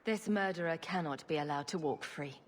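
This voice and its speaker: A woman's voice: low voice, brisk. A woman playing a bold, sardonic and righteous adventurer in a fantasy video game, dry and confident. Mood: resolute. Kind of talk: dramatic video game acting.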